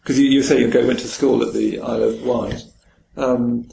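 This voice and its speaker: Gentle male interviewer, roomy sound. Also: mid-range voice, flat delivery, normal speed, gravelly. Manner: gentle, tentative prompting. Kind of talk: interview questions. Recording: echoey room with faint noise.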